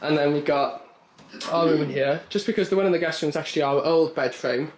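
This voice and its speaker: A young man teases his partner with dry, mock-serious remarks while vlogging at home. His voice is relaxed and conversational. Faint hiss sits behind him. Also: a little high, fast. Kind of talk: casual home vlog chat.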